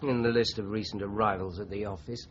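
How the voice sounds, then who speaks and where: An actor playing a courteous, methodical Scotland Yard inspector in a British radio crime drama, speaking in a polite, measured, probing way. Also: male voice, fairly low pitch, steady tone, lightly acted. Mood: calm, purposeful. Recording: muffled radio drama recording, faint noise.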